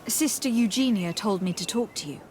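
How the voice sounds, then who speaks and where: A woman playing a courteous young noble diplomat in a video game, asking questions in a polite, measured way. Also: medium pitch, darkish tone, a little hiss or hum, lightly acted. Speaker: investigator.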